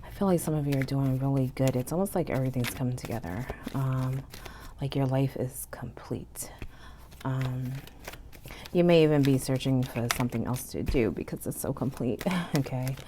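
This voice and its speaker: A woman giving a casual tarot reading, sounding stuffy and hoarse from illness, chatty with frequent "okay?" and "you know". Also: extremely low pitch, moderate pace. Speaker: tarot reader. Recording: faint background noise.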